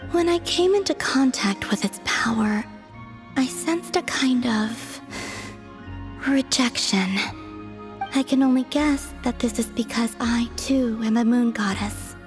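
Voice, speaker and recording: An aloof young moon goddess from a video game. Her voice is fairly high, soft and airy, and she speaks very slowly with grave, formal detachment. Music plays behind her. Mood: detached, matter-of-fact. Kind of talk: video game cutscene voice acting.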